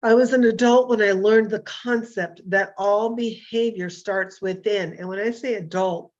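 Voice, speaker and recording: A hoarse woman in her fifties hosting a casual solo talk show, rambling and self-deprecating with many fillers and restarts. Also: medium pitch, steady tone, moderate pace, a little mellow, muffled recording. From California. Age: 50s. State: hoarse from illness.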